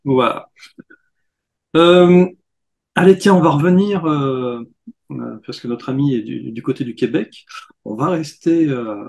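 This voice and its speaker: A man hosting a paranormal news show, chatting in a relaxed way and pointing out details in pictures. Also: mid-range voice, unhurried, gravelly, long pauses. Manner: relaxed, pointing things out on screen. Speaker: host. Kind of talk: casual discussion.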